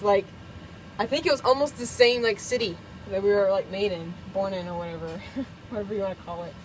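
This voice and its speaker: A woman's voice: medium pitch, slight background noise, low-quality recording. A young woman from Kentucky, chatting casually while cooking in her camper. She is bubbly and giggly and fills her talk with "like" and "um". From Kentucky, USA. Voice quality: bubbly, giggly, lots of fillers. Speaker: vlogger. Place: camper kitchen.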